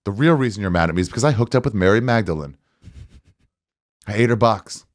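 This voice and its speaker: A man's voice: on the low side, animated, fast, no echo. A man in his forties giving a casual comedic read with sarcastic, exasperated delivery, close on a studio mic. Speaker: guest comedian on a podcast. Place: small podcast studio, indoors. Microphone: close studio mic with headset.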